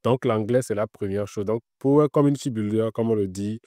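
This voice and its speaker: A man's voice: on the low side, brisk, mellow, dry recording, clean studio sound. A man being interviewed, giving casual career advice in an explanatory way, repeating his points with frequent filler words. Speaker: interview guest working in Web3.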